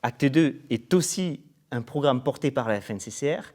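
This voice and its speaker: A man's voice: medium pitch, lively intonation, moderate pace, darkish tone. A man presenting a programme in an interview, formal and explanatory, often going through points as lists. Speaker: programme coordinator being interviewed.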